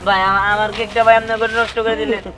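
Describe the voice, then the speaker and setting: A woman's voice: fairly high pitch, level tone, rapid-fire, over music, close to an everyday voice. A woman playing a meek, bullied youngster in a cartoon moral story, hesitant and pleading. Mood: distressed.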